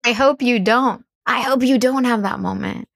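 A woman's voice: on the high side, normal speed, creaky, dry recording, clean studio sound. An American woman speaking as a mental coach, giving earnest, encouraging advice in a conversational style. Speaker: mental coach, podcast guest.

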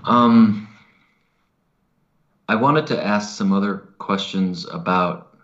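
A man's voice: lowish voice, takes it slowly, a bit bright, muffled recording. A man in conversation, asking questions hesitantly and searching for his words as a client. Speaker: client, skeptic receiving a reading.

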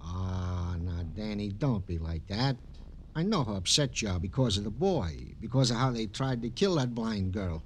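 An actor playing a devoted, fussing police sergeant sidekick in an old radio crime drama, eager and colloquial. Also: male voice, fairly low pitch, sing-song, normal speed. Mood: soothing, worried. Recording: noisy old radio broadcast.

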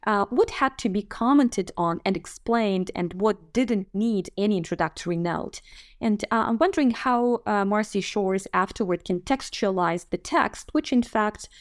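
Casting: woman host, mid-pitched, calm and measured, dry close sound. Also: no room sound. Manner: attentive, frequent mm-hmms, measured questions. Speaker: interviewer. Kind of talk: podcast interview.

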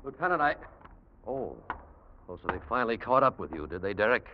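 A wronged rival, earnest and pointed, in an old radio crime drama. His fairly low voice swings expressively, on a dull vintage recording. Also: big pitch swings, fairly quick, noisy background. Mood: startled. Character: wronged male rival, earnest, quietly triumphant accuser. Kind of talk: 1940s radio acting.

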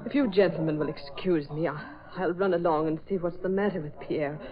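An actress playing a gracious, motherly society woman, gently concerned, in a 1940s radio drama. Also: fairly low pitch, a little fast, natural voice. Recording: dull old radio recording, crowd behind.

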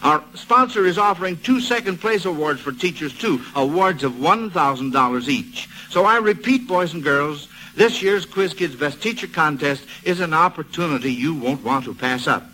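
A man with an American accent hosting a 1940s radio show, pitching to children in a folksy, eager salesman's manner on a vintage broadcast recording. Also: animated, normal speed, hardly any pauses, pushed and bright, slight background noise, low-quality recording. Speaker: quiz show host.

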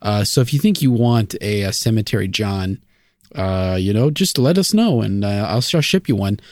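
A man's voice: on the low side, expressive, moderate pace, a little mellow, dry recording, clean studio sound. A man co-hosting a comedy podcast, laughing through absurd made-up stories. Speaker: podcast co-host. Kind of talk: improvised comedy podcast banter.

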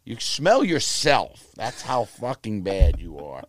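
A man's voice: fairly low pitch, takes it slowly. A man in his fifties telling blunt, profane stories as an interview guest. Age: 50s. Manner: blunt, profane, animated storyteller. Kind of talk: casual interview storytelling.

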